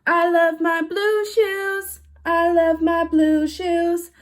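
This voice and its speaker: A woman's voice: extremely high pitch, flat delivery, heavily acted. A woman voicing an easygoing, cheerful male cat from a storybook in a sing-song way. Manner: sing-song, drawn-out, repetitive. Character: cheerful easygoing cartoon cat who loves his shoes.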